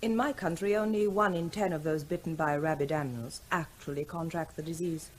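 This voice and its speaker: An actress playing a calm woman doctor who reassures a bitten child in an old radio drama. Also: sits low, fairly quick, slight background noise, natural voice. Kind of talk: 1970s radio drama acting.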